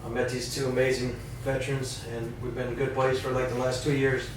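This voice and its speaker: A man's voice: medium pitch, level tone, a little fast. A man with a Wisconsin accent, an Army veteran, giving a plainspoken, self-deprecating and reflective introduction as a guest on a podcast. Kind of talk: casual personal introduction. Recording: echoey room, mic at a distance, noticeable background noise.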